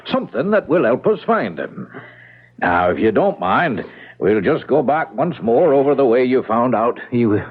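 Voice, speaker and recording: An actor playing a polite, methodical police inspector in a radio mystery, questioning patiently and deferentially. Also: male voice, mid-range voice, sing-song, moderate pace, husky. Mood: politely probing. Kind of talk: radio mystery drama acting. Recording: muffled phone-like old radio broadcast.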